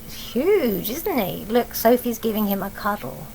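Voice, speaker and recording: A woman's voice: medium pitch, very animated, unhurried, creaky, a little mellow, a little hiss or hum, poor audio. A woman with an English accent reading a storybook aloud as a teacher, expressively, with explaining asides and questions for young children.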